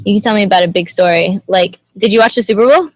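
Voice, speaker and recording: A woman's voice: medium pitch, fast talker, dry recording, low-quality recording. A young woman who grew up in Indonesia, hosting a casual livestream and chatting with viewers, greeting them and asking lots of questions. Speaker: livestream host.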